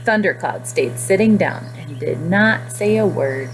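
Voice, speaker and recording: A woman teacher speaking to young children in simple, expressive phrases during a guided reading lesson. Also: on the low side, measured pace, over music, low-quality recording. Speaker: classroom nutrition teacher.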